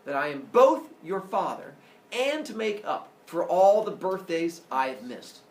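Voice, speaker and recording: A man's voice: high voice, very animated, a little slow. A man voicing a mighty, gigantic sun god and solemn father in a myth storytelling, grand and declamatory. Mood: generous, grand. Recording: roomy, distant mic, faint noise.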